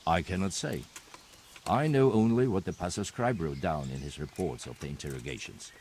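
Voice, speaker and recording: An actor playing a stern knight who calmly reports on forged coins in a video game cutscene, speaking bluntly and without fuss. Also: male voice, low-pitched, moderate pace, a little hiss or hum. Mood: terse report. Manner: blunt, matter-of-fact reporting. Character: grim male knight investigating counterfeiters.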